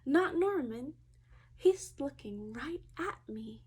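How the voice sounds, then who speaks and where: Female preschool teacher for storybook reading. High-pitched, unhurried, expressive, talks straight to small children. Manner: expressive, addressing young children directly.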